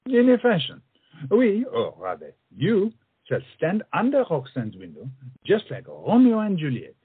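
An actor playing a witty French friend and mentor in a stage comedy, speaking in a flowery, coaxing, theatrical way. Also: male voice, high voice, wildly swooping pitch, a little slow, husky, dry recording, phone-quality sound, heavily acted. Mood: scheming, confident.

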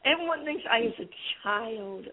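A woman's voice: a little high, animated, moderate pace, breathy edge. A woman with a young-sounding voice, calling into a radio show and rambling playfully. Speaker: caller. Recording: phone line with crowd behind.

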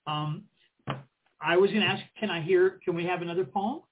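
A man's voice: sits high, brisk, slight background noise. A middle-aged man co-hosting an interview, asking friendly questions with frequent ums and restarts. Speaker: co-host. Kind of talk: casual interview conversation. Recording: tinny phone-line audio.

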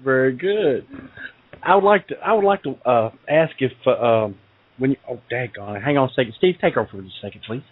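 A man's voice: medium pitch, a little fast, husky. A male co-host asking questions in an easygoing, jokey way and laughing often. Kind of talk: casual radio interview. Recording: dry, tinny phone line.

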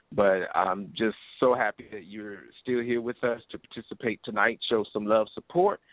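A man's voice: on the low side, dry recording. A man hosting an internet radio show, chatting casually and informally over a phone-quality line. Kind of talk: casual internet radio chat with shout-outs.

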